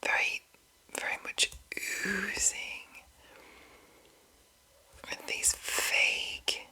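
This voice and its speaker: A woman whispering softly and deliberately in an ASMR style, reading aloud close to the microphone with a breathy, unvoiced voice. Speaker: ASMR host reading a monster manual.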